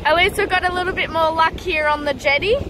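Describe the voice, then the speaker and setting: A woman's voice: extremely high pitch, poor audio. A young Australian woman vlogging on a windy jetty, chatting and giggling to a phone held at arm's length. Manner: chatty and giggly, talks to the camera. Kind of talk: casual vlog narration. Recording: loud background music.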